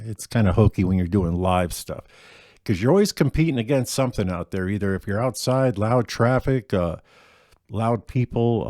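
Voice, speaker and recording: A middle-aged man talks casually with a deeper, mellow voice. He rambles with lots of ums and restarts. The sound is dry, with no room to it. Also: dry recording. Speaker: gigging acoustic musician. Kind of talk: casual gear rundown.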